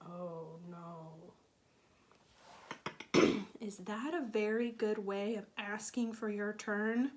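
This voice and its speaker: A woman teacher reading a picture book to young children, explaining patiently and pausing to ask them questions. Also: mid-range voice, steady tone, a little hiss or hum. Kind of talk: children's read-aloud with questions to listeners.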